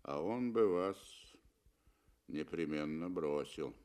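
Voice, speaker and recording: A man's voice: rumbling low, lively intonation, takes it slowly, darkish tone, pitched below the performer's own voice, full character voice. A man voicing a cool, indifferent hunter with a dry, curt, detached manner in a radio story. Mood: cold, mocking.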